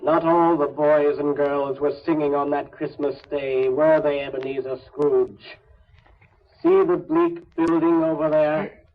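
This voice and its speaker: An actor playing an ethereal, ageless spirit that is calmly commanding, intoning solemnly in 1930s radio style. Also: male voice, on the high side, unhurried, gravelly. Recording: muffled 1930s radio recording.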